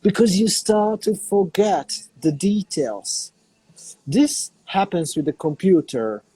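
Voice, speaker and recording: Middle-aged Hungarian man, unhurried, dark mellow timbre. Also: high voice, big pitch swings, breathy edge, dry recording, poor audio. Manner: unhurried, searching for words in a second language. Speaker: interview guest, digital artist. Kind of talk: casual interview on a call. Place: cafe in a small town.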